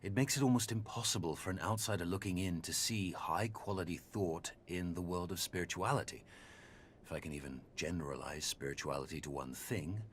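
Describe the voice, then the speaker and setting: A man plays an earnest, measured researcher with a very deep voice. He talks articulately and deliberately, as in an intellectual audio drama. A faint rumble sits in the recording. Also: natural voice. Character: measured, earnest male researcher debating philosophy.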